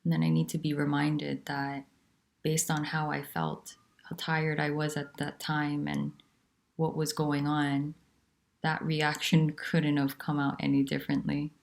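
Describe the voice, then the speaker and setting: A woman teaching about nonduality, talking in a soft, unhurried and candid way with pauses. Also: sits low, monotone, creaky. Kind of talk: reflective spiritual talk.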